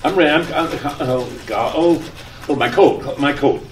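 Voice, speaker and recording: A man's voice: a little high, a little slow, lightly acted. A man playing a flustered, forgetful husband in an old-time radio comedy skit, stammering and exasperated. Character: flustered, forgetful husband leaving on a business trip. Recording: echoey room, distant mic, background noise.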